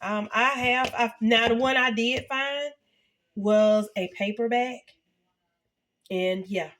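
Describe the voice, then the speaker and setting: A woman's voice: fairly high pitch, fairly bright tone. Congested woman in her fifties. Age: 50s. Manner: halting, many fillers and restarts. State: congested, sniffling, nasal. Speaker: vlogger. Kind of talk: casual reflective talk to camera.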